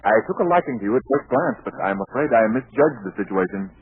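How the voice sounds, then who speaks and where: An actor playing a pedantic, politely exasperated professor with formal, hesitant speech in a 1940s radio comedy. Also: male voice, mid-range voice, lively intonation, quick pace, breathy edge, no echo. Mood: prim, polite. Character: stuffy, bookish zoology professor, politely exasperated. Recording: muffled 1940s radio recording.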